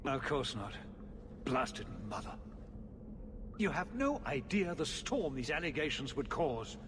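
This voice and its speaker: An actor playing an anxious, exasperated middle-aged city ruler in a video game, speaking formally and theatrically. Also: male voice, medium pitch, big pitch swings, a little slow. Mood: weary, troubled. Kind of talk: dramatic video game acting. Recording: loud ambient noise behind.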